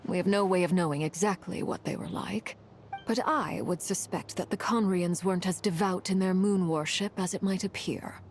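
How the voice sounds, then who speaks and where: Woman playing a low, composed fire-wielding commander. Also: a little mellow, a little hiss or hum, close to an everyday voice. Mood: measured, explanatory. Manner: measured, formal, solemn. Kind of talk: dramatic video game voice acting.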